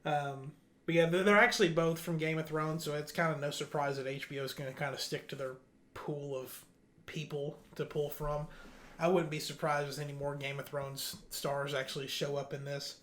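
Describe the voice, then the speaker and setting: Higher-voiced man hosting casual news chat. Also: level tone, normal speed, fairly bright tone, slight background noise. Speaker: podcast host.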